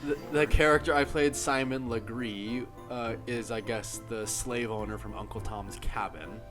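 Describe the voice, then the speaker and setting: Male host, casual group commentary, discursive explainer, clear music bed behind. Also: mid-range voice, fairly even intonation, normal speed. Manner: rambling, explanatory. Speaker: host of a radio-drama group.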